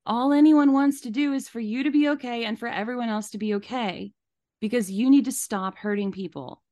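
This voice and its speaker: A woman's voice: a little high, fairly quick, dark tone. Indignant woman podcast co-host. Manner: indignant, emphatic, repeats phrases. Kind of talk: opinionated podcast commentary.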